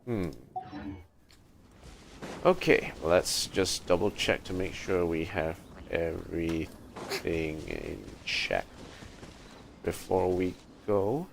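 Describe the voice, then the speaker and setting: A man's voice: deep voice, lively intonation, extremely slow, creaky, long pauses, noisy background. A man livestreaming a video game with casual commentary, thinking aloud in short remarks. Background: game music.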